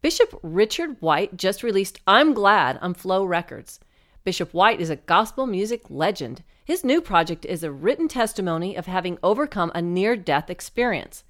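A woman's voice: mid-range voice, sing-song, normal speed, a bit bright. A woman announcer reading scripted sponsor copy. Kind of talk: scripted advertisement narration.

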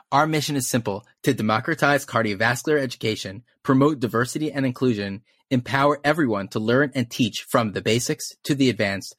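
A man's voice: medium pitch, a bit bright, dry recording, studio quality. A man co-hosting a podcast, delivering a scripted announcement and introduction. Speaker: podcast co-host.

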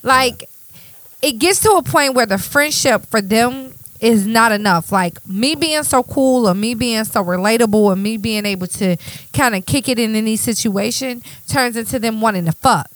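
A woman's voice: a little high, normal speed, no room sound. Blunt woman in her thirties, dry sound. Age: 30s. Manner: blunt, profane and emphatic. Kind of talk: casual chat.

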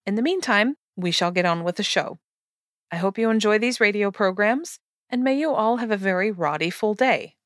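A woman's voice: medium pitch, animated, moderate pace, a bit bright, no room sound, clean studio sound. A woman reading a prepared introduction as the host of a podcast.

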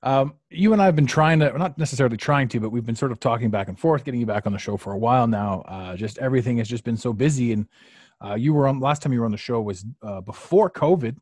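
A man hosting a live podcast, talking casually and rambling, repeating phrases and filling pauses with "uh.". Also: mid-range voice, darkish tone, dry recording. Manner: rambling, repeats himself, many fillers. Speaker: podcast host. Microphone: podcast mic on a live stream.

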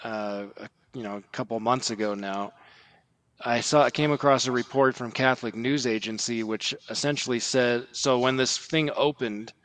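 A man giving earnest podcast commentary in a measured, explanatory way with formal wording. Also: medium pitch, a bit bright, no echo.

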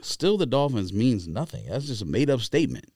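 A man's voice: medium pitch, sing-song, brisk, background noise. A man co-hosting a sports podcast, making his case in a combative, insistent and challenging way. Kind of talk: casual sports debate.

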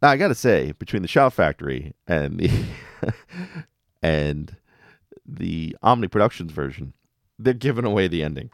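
A man hosts a casual fan podcast in a fairly low voice. His intonation is very animated and he talks in a conversational, enthusiastic way. The sound is close and dry. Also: moderate pace, pauses often, dry recording. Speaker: podcast host. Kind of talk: casual fan podcast, recapping cartoon episodes. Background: music under the voice.

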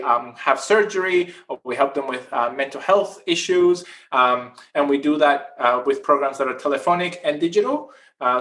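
Podcast guest: man in his forties from Chile, earnest and long-winded, pitched a bit high. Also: fairly bright tone. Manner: earnest, long winding answers. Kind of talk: casual podcast interview.

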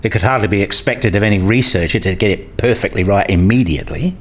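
A man's voice: on the low side, fast talker, natural voice. A man playing an inquisitive hobbyist in a radio play, questioning and reasoning aloud.

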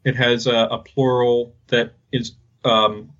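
A man co-hosting a podcast, talking casually and haltingly as he explains things. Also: mid-range voice, level tone, fairly bright tone, muffled recording. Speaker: podcast co-host. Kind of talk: casual linguistics discussion.

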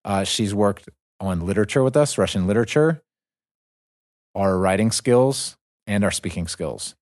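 A man's voice: lowish voice, animated, moderate pace, darkish tone, no room sound, studio quality. A man co-hosting a casual podcast, talking eagerly and often restarting his sentences. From United States. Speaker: podcast co-host.

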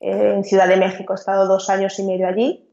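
A woman's voice: medium pitch, monotone, quick pace, vocal fry, mellow. A woman from Galicia, Spain, a teacher chatting as an interview guest with hesitant fillers. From Vigo, Galicia, Spain. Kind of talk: casual conversational interview. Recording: roomy, dull lo-fi sound.

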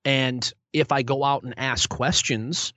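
A man's voice: mid-range voice, steady tone, unhurried, sharp tone, no echo. A young man, a sports play-by-play broadcaster, answering interview questions in a good-humored, self-deprecating way with many restarts. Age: young adult. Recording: dull lo-fi sound.

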